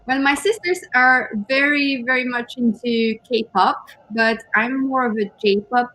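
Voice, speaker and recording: A woman's voice: a little high, unhurried, bright, forceful tone, low-quality recording. Hesitant woman speaking English as a second language. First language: not English. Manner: hesitant, searching for words, giggly. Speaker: co-host. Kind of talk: casual chat on a writing livestream.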